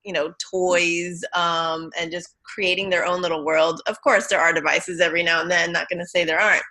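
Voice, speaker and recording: A woman's voice: fairly low pitch, little pitch movement, normal speed, bright, forceful tone. A woman in her thirties from Brazil, a guest in a casual interview, friendly and upbeat, laughing easily. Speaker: guest mother.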